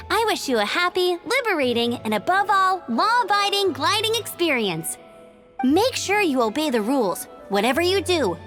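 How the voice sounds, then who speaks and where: A plucky young woman scout in a game, very high-pitched with a bouncy, lively delivery. She sounds confident and a little boastful. Faint music sits behind her. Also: heavily acted. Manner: bright and boastful, energetic. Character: plucky young woman outrider, cheerful and bold. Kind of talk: game voice acting.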